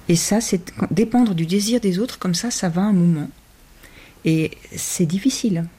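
Husky fifty-something woman, slow thoughtful talk, a bit wry. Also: fairly low pitch, warm tone. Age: 50s. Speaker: actress interviewee. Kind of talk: reflective interview talk.

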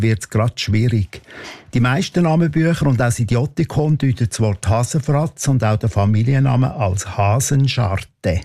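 A man's voice: mid-range voice, warm tone, no echo. A man with a Swiss German accent, a name researcher, explaining a surname's origin precisely and carefully. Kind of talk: interview answer.

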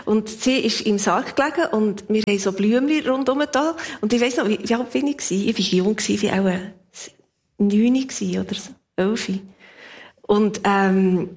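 A woman's voice: mid-range voice, normal speed, darkish tone. Reflective Swiss woman in her fifties. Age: 50s. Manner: reflective, laughs easily. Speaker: interview guest. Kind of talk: casual personal storytelling.

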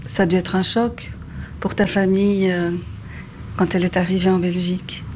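A woman's voice: fairly low pitch, little pitch movement, moderate pace, darkish tone. Woman interviewer over faint background noise. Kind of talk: conversational interview questions.

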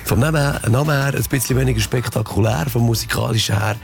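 A man's voice: medium pitch, quick pace, vocal fry, over music. A man with a Swiss German accent presenting event tips on the radio in a playful, punning way.

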